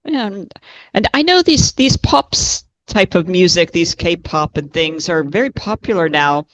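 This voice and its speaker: A woman examiner leads the talk with short, plain follow-up questions. Her voice sits slightly low for a woman. The sound is dry and close. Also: expressive, measured pace, no echo, poor audio. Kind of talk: mock speaking exam interview.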